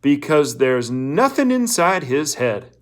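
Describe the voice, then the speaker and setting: A man's voice: on the high side, big pitch swings, slow pace. A man voicing a cheerful, patronizing camp counselor in a storybook reading, hearty and encouraging toward teenage boys. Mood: upbeat, preachy.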